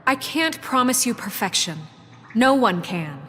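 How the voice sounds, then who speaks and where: A woman's voice: a little high, big pitch swings, a little slow, a bit bright, full character voice. An actress playing an earnest, firm woman leader of a troubled town in a video game, speaking formally and resolutely. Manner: formal, resolute, pleading for trust. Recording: echoey hall, music behind.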